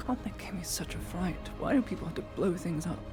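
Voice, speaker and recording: A man's voice: sits high, animated, normal speed. A man playing a weary woman clinic worker in a roleplay game, sighing often and mildly exasperated. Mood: shaken. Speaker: streamer playing a roleplay character. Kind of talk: roleplay chat in a social VR game. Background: music.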